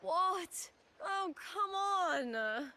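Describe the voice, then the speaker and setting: An actress playing an upbeat, fiery young female arena fighter in a video game, chatty and animated. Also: very high voice, extremely slow, full character voice. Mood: surprised. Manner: animated, breezy, casually chatty. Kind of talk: video game character acting.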